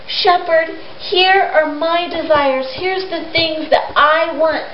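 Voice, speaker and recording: A woman's voice: extremely high pitch, slow pace. A woman telling a story plays a timid, tearful, devoted female follower with a pleading, earnest manner. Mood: earnest offering. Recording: noisy, echoey, dull amateur recording.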